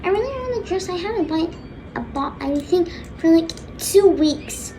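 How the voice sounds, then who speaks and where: A woman voicing a spoiled teenage daughter, whining and begging in drawn-out pleas in a homemade skit. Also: very high voice, animated, warm tone, slight background noise, pitched above the performer's own voice. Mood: pleading. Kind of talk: homemade skit role-play.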